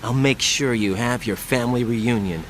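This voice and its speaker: A man's voice: medium pitch, moderate pace, slight background noise, close to an everyday voice. An actor playing an earnest young special agent in a video game, intense and clipped in his delivery. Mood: urgent, determined. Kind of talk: dramatic video game cutscene acting.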